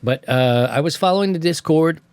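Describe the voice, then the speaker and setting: A man's voice: fairly high pitch, brisk, darkish tone, clean tone, dry recording. Man telling casual stories, very dry sound. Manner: colloquial, slangy, acts out remembered exchanges. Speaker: amateur radio show host. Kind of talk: casual anecdotal storytelling to co-hosts.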